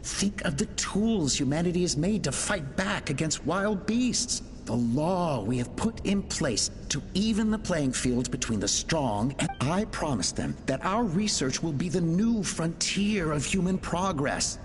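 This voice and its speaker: Earnest inventor, echoing and animated. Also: male voice, a little high, unhurried, hardly any pauses. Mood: idealistic, hopeful. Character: earnest idealistic male inventor dictating research logs. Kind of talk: video game voice acting. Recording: reverberant hall, music underneath.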